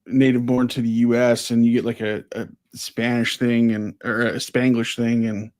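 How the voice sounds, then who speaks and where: A man at a middle pitch speaks in a laid-back, rambling way on a livestream, filling his pauses with ums. He sounds easygoing and conversational. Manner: relaxed, rambling, with many fillers. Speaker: livestream guest. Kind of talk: casual livestream chat.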